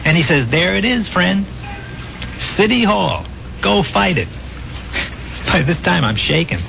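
An actor playing a brash, restless dead army buddy in a 1970s radio drama, talking in slangy, insistent, streetwise fashion. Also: male voice, high-pitched, sing-song, unhurried, gravelly, pushed and bright, a little hiss or hum, heavily acted. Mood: agitated, awed. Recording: muffled old radio broadcast, music behind.

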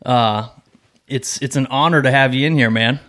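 Man, middle-aged, podcast host, medium pitch, casual conversational delivery. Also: normal speed, fairly bright tone. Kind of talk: casual chat.

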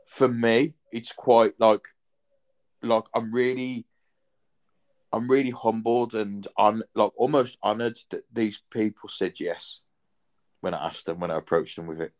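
Brief: thirties male host, lowish voice, loose rambling chat, swears easily. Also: fairly even intonation, a little slow, darkish tone. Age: 30s. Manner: rambling, swears casually, many fillers. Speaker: podcast host. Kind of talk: casual chat.

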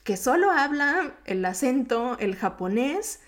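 Mexico city accent lady, japanese native, chatty podcast host, a bit sing-songy, voice on the higher side. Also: sing-song, slow. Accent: Mexico City Spanish. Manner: chatty and informal, slightly sing-song. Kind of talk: solo podcast monologue.

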